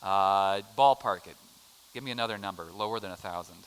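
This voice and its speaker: A man's voice: deep voice, fairly quick, clear, resonant tone, poor audio. A middle-aged American man teaching a casual adult Bible class, chatty and joking as he quizzes the room. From United States. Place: church classroom.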